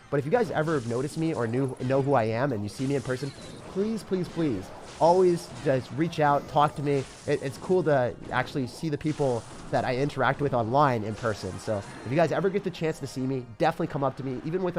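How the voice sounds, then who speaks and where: A young man from the Boston area streaming a game live, chatting with viewers, excitable and laughing often. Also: medium pitch, quick pace, soft background music. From Boston area, United States. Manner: excitable, laughs often, reads chat questions aloud. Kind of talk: live-stream gameplay commentary.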